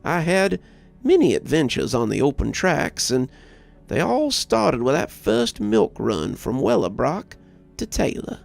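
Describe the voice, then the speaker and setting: A man narrating a scripted first-person story as a horror host, with a measured, dramatic storyteller's delivery and a gravelly voice. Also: a little high, sing-song, a little mellow. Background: background music.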